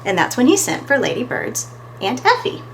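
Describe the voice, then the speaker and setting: A woman's voice: fairly high pitch, wildly swooping pitch, moderate pace, creaky, a little hiss or hum, low-quality recording. A woman reading a picture book aloud as a storytime host, expressively and talking to her listeners between pages.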